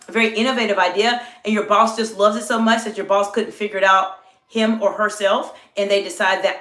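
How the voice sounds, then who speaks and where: A middle-aged woman executive coach talks live to her viewers in a plain, middle-pitched voice that sits lower than usual because she is under the weather. She greets the chat and explains things in a steady coaching way. The room has a noticeable echo. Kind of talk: live-stream talk to chat. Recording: echoey room.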